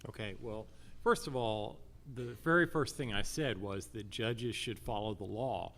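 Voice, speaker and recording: An American man speaking as a judicial candidate at a public forum, deliberate and using emphatic repetition. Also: on the low side, a bit bright.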